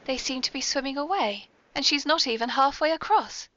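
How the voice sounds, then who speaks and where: A woman's voice: sits high, a little fast, a bit bright. A woman with a British accent playing a genteel, mischievous lady mastermind, hosting a scripted comic podcast in a prim, arch, formal manner. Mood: arch, amused. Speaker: podcast host. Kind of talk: scripted comic audio drama. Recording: dull lo-fi podcast recording.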